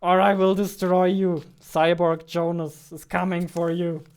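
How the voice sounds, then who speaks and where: A man in his twenties, a game developer chatting playfully with his viewers while playing, on a studio mic in a small dark room. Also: high voice, measured pace, smooth. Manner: playful, excitable, talks back to the chat. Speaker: game developer streaming his daily devlog. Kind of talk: casual live-stream chat while playing. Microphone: studio mic on a boom arm, wearing headphones.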